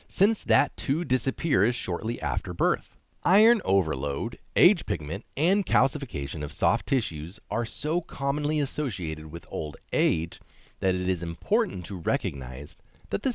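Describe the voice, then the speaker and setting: A man with a low-pitched voice reads an article aloud. His intonation moves around a lot, and he shifts casually between the text and his own explanations. The sound is dry, with very little room in it. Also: no room sound. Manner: informal, explanatory, breaks off to comment. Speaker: reader and commentator.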